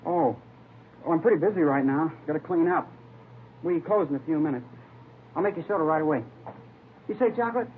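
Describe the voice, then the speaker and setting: A man's voice: a little high, lively intonation, a little fast, pauses often, close to an everyday voice. A man playing a jumpy, evasive eighteen-year-old soda fountain boy in a vintage radio drama. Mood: flustered. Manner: jumpy, evasive, short answers. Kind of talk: 1950s radio drama acting. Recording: tinny, faintly noisy vintage radio recording.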